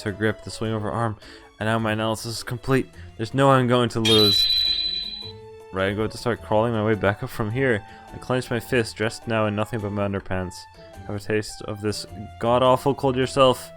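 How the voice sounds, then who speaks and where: A man streaming a game, reading its story text aloud with casual, amused, sweary commentary. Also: fairly low pitch.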